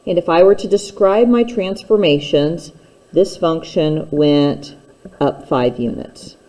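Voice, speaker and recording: Lady math teacher, mellow relaxed voice, takes it slow, explains step by step. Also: on the low side, rough recording.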